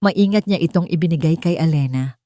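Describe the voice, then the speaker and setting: Filipina woman reading a dramatic story, relaxed dark voice, dry sound no room. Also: low-pitched, brisk, no echo. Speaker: narrator. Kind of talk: scripted dramatic story narration.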